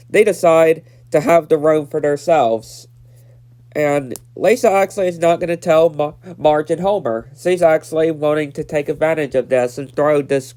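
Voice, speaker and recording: A man's voice: a little high, darkish tone. A nineteen-year-old man with a stuffed-up nose, casually recapping a cartoon episode and repeating himself with lots of fillers. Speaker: episode reviewer.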